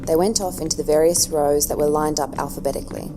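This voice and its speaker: A woman's voice: deep voice, steady tone, quick pace, background noise. A woman narrator reading scripted story narration for an audio drama. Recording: music underneath.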